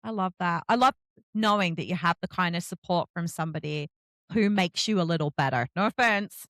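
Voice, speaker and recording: A woman's voice: lowish voice, a little fast, fairly bright tone, no echo. A woman hosting a casual interview, chatty and effusive, often acting out little bits of dialogue. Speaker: podcast host.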